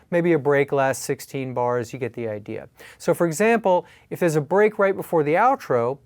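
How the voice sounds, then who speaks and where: A man calmly explaining step-by-step techniques in a tutorial, as an instructor. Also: a little high, no echo. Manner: calm, explanatory. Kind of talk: tutorial narration. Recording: faint music behind.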